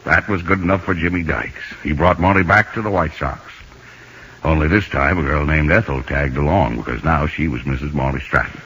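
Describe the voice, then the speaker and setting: Deep, husky old-radio narrator. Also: male voice, fairly quick, bright, forceful tone, heavily acted. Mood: matter-of-fact. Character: laconic, gruff male baseball pal narrating the story. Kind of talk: 1950s radio scripted narration. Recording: muffled old radio, faint music.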